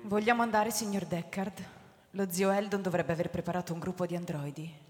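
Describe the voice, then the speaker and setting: An actress playing an elegant, cool young woman in a radio drama, speaking in a composed, formal and deliberate way. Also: fairly low pitch, steady tone, a little mellow, close to an everyday voice. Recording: hall reverb with music underneath.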